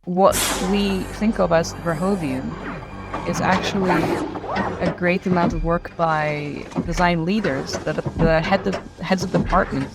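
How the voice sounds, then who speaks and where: A woman's voice: fairly low pitch, steady tone, measured pace, low-quality recording. A woman from Eastern Europe who works as a filmmaker, talking reflectively in a film discussion. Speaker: filmmaker, commentator. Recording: music playing behind the voice. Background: background music.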